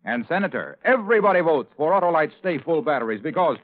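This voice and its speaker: A man delivering brisk, polished salesman patter in a scripted vintage radio commercial. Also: fairly high pitch, very animated. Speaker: radio announcer and pitchman. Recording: tinny, band-limited vintage radio recording.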